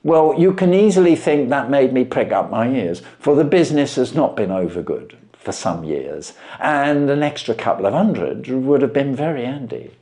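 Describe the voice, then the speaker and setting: An earnest Victorian shopkeeper played by a male reader tells his tale at length in a storybook. He is respectful and homely, and his medium-pitched voice rises and falls with great animation. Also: lively intonation, normal speed, few pauses, dark tone, lightly acted. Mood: earnest, plaintive. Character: stay-at-home London pawnbroker, earnest, long-winded widower.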